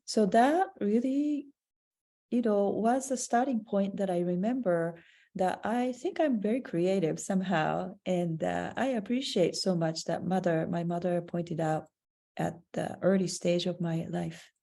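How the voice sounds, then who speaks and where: Woman, Japanese accent, native Japanese speaker, gravelly, halting, online talk. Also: on the low side, dark tone. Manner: halting, searching for words. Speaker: artist presenting her work. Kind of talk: online slideshow presentation. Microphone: video call.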